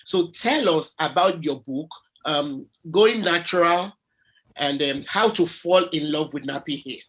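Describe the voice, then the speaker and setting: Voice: mid-range voice, measured pace, a bit bright, poor audio. An interviewer asking an author about her work, hesitant with many fillers. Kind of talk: conversational interview.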